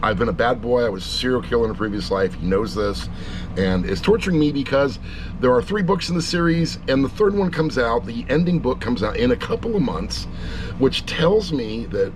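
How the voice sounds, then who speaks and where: A man's voice: medium pitch, lively intonation, a little fast, noisy background. A middle-aged man giving a casual, rambling review, with blunt, jokey asides. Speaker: audiobook reviewer.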